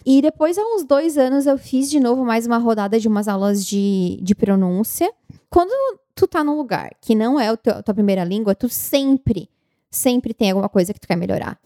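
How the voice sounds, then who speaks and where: A woman answering viewer questions in a relaxed, reflective and chatty way. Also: high-pitched, no room sound, clean studio sound. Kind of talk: casual conversation.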